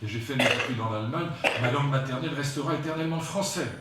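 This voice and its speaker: A man's voice: mid-range voice, racing, very noisy. Man in his sixties lecturing in an echoey hall. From France. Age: 60s. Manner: digressive and emphatic, with frequent asides to the audience. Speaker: lecturer at a philosophy conference. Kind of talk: public philosophy lecture. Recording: very echoey hall, voice picked up from far off.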